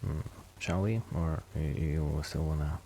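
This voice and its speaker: Low-pitched man, soft ASMR chat. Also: fairly even intonation, measured pace, slight background noise. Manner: rambling, hesitant, self-deprecating. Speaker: ASMR roleplay host playing a musician friend. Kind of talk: soft ASMR roleplay, casual intimate chat.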